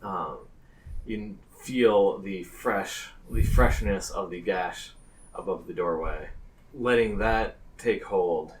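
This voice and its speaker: A man improvising narration as game master of a tabletop game, pausing hesitantly mid-phrase. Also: low-pitched, distant mic, a little hiss or hum, poor audio.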